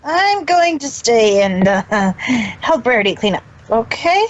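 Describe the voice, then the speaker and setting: A man's voice: very high voice, very animated, unhurried, lo-fi sound, pitched above the performer's own voice, exaggerated voice. A man voicing a sheepish young male dragon, hesitant and earnest, in a fan fiction reading.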